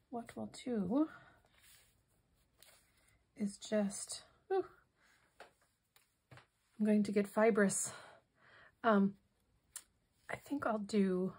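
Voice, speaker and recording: A hoarse woman demonstrating a craft project in casual tutorial style, softly thinking aloud and drifting into whispered asides. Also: medium pitch. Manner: soft-spoken, musing, drifts into whispered asides.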